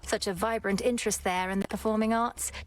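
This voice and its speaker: A woman's voice: medium pitch, fairly even intonation, fairly quick, a little hiss or hum, lightly acted. A woman playing a defiant young princess goddess in a video game, composed and formal, with dry sarcasm and cool vengefulness. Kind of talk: theatrical video game acting. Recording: studio voice over faint game music.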